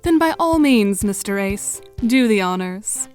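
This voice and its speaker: A woman's voice: medium pitch, animated, unhurried, darkish tone, soft background music, close to an everyday voice. A woman playing an earnest, solemn young seer heroine in an audio drama. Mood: encouraging.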